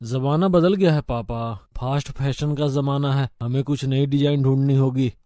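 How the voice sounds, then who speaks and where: A man's voice: medium pitch, steady tone, normal speed, mellow, no room sound, studio quality, lightly acted. A man voicing a rich, polite young college man in a story, earnest and plain-spoken.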